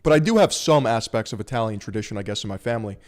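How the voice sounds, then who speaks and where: A man's voice: lowish voice, animated, very fast. Slangy joking American streamer guy. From United States. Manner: slangy, joking, swears freely. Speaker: streamer reacting to a video. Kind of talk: casual reaction commentary.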